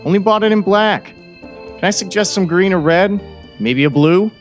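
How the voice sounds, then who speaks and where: A man's voice: sits high, moderate pace, faint music behind, lightly acted. A man playing a chatty, nosy small-town shopkeeper in an audio drama, with a folksy, overfriendly salesman's manner. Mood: cheerful, chatty. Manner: overly forthright, folksy, salesman-like.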